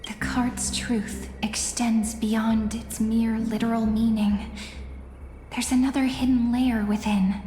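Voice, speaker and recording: A gentle, earnest village girl in a fantasy game. Her speech is slightly high and unhurried, with a tender, wistful manner, echoing over music. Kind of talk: dramatic scripted game acting. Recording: echoey long reverb under music.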